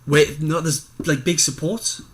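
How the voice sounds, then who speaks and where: A man's voice: on the high side, steady tone, unhurried, breathy edge. A man chatting informally as co-host of a podcast. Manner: informal, conversational. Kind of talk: casual chat.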